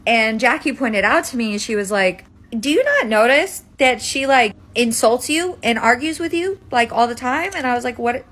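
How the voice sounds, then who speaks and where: A woman's voice: a little high, animated, normal speed, fairly bright tone. A woman in her twenties with an American accent, telling a personal story casually and emphatically. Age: 20s. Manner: dramatic, emphatic, peppered with "like" and swearing. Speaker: storytime video creator.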